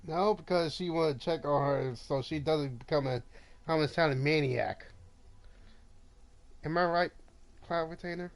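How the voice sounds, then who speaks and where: A man casually commenting over his gameplay, talking in a halting way with many fillers and restarts. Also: on the high side, creaky. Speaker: gameplay streamer.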